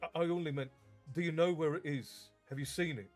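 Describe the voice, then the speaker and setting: A man's voice: fairly high pitch, steady tone, fairly quick, faint music behind, close to an everyday voice. Man voicing an earnest teenage wizard. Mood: urgent. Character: urgent teenage boy wizard, polite.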